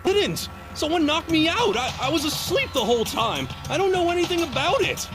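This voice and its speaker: A woman playing a panicky, none-too-bright suspect in a video game, stammering and defensive over loud music. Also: very high-pitched, very animated, moderate pace. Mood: panicked denial. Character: panicky, dim accused suspect protesting innocence.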